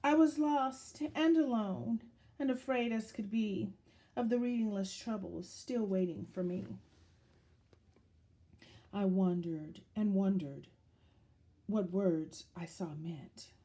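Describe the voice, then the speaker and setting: A woman reading a children's picture book aloud in a dramatic, deliberate storytelling manner, as if to young listeners. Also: medium pitch, warm tone.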